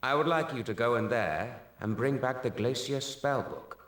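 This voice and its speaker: Man playing a kindly tired wizard teacher, soft-spoken, calm, expressive pitch. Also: normal speed, noisy background, close to an everyday voice. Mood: gentle. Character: gentle, weary male wizard teacher. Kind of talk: video game cutscene acting.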